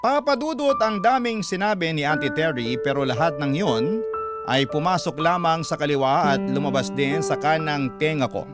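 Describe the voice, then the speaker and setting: A man narrating a listener's letter in the first person in a classic radio style, earnest and measured. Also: a little high. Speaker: storyteller reading a listener's letter. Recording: loud background music.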